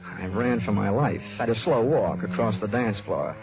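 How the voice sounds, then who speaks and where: An actor playing a hard-boiled, wisecracking insurance investigator with an American accent, wry and cool, in a mid-century radio detective style. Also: male voice, fairly low pitch, normal speed, close to an everyday voice. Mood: cool narration. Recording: muffled old-time radio recording with music.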